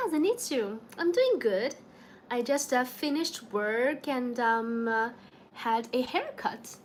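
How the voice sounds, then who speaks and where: Young chinese woman livestreaming from home, chatty, laughs a lot, slightly high voice, faint background hum. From China. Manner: chatty, laughs often, greets viewers by name. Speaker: livestream host. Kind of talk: casual livestream chat with viewers. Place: home in the evening.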